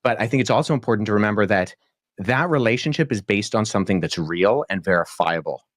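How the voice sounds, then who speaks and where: A young man with a lowish voice explains his reasoning earnestly and articulately. He speaks at a measured pace and uses frequent ums and uhs. The sound is dry and close, with no room echo. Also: expressive. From born in Pakistan, childhood in Jordan. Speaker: debate guest, historian. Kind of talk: podcast debate answer.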